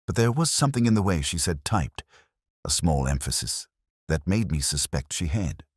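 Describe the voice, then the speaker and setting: Man, bottomless low voice, close dry sound, first-person mystery narrator, measured, reflective, literary. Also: lively intonation, breathy edge, no echo. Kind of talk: scripted first-person detective story narration.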